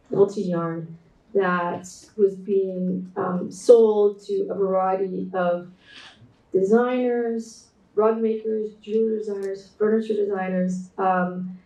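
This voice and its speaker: Mellow-voiced Canadian woman giving a keynote. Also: mid-range voice. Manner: reflective, measured delivery with frequent restarts. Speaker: keynote speaker, textile designer. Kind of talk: conference keynote presentation. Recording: roomy echo, voice distant from mic.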